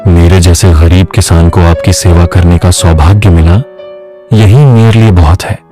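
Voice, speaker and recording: A man playing a humble, earnest poor farmer and father in an animated moral story. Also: sits low, normal speed, over music, close to an everyday voice.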